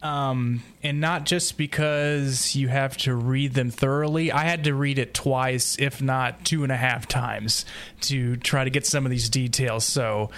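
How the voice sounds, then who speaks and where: A man's voice: mid-range voice, steady tone, measured pace, a bit bright. A tired man co-hosting a podcast in casual, self-deprecating conversation. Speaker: podcast co-host. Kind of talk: casual chat.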